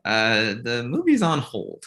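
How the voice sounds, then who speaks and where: A man's voice: medium pitch, huge pitch swings, slow, bright, forceful tone, slight background noise, heavily acted. A man playing a pushy, earnest, easily flustered filmmaker in a comedic table read.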